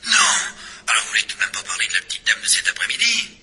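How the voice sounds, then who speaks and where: A man's voice: fairly low pitch, wildly swooping pitch, rapid-fire. An actor playing a sleepy police inspector woken at midnight by his chief, in a French radio drama. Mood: drowsy. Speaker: police assistant. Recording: noisy old radio recording.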